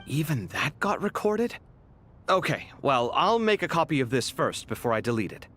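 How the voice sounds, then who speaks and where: An actor playing a conscientious young scholar in a video game, expressive and theatrical, quick to grumble. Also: male voice, fairly high pitch, slight background noise. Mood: insistent. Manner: animated, theatrical. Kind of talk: video game dialogue acting.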